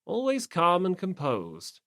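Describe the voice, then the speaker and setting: A woman's voice: on the low side, wildly swooping pitch, slow pace, a bit bright, no echo, studio quality. A woman reading a story as a scripted audiobook narration.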